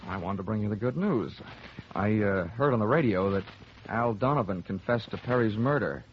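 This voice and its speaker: A man's voice: lowish voice, animated, normal speed, a little hiss or hum, natural voice. A man acting a wry, hard-boiled insurance investigator in an old radio drama, American, with clipped, sardonic delivery. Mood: sardonic, pressing. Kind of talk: old-time radio detective drama acting and first-person narration. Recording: muffled vintage radio drama recording.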